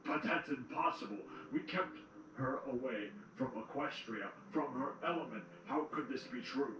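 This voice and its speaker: A man's voice: medium pitch, expressive, normal speed, close to an everyday voice. A man playing a loyal military general to an evil queen in an audio drama, formal and deferential. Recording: roomy, distant playback with faint noise.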